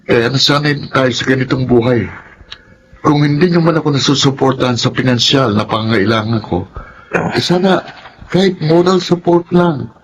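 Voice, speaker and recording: An actor playing an earnest, self-sacrificing young college student in a Filipino radio drama, speaking respectfully. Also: male voice, medium pitch, normal speed, lightly acted. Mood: impassioned pleading. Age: young adult. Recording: noisy radio drama track.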